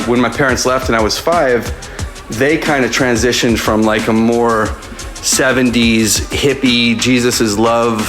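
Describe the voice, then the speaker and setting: A man's voice: medium pitch, a bit bright. A man hosting a casual interview, asking loose, searching questions with many fillers. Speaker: host interviewer.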